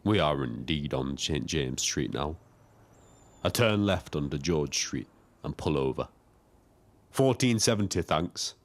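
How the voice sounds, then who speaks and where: A lonely British cab driver narrates in a very low voice. He is measured and observant, with a brooding air suited to scripted audio drama. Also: animated. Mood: polite, businesslike. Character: lonely, watchful male Brighton taxi driver. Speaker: narrator and lead character.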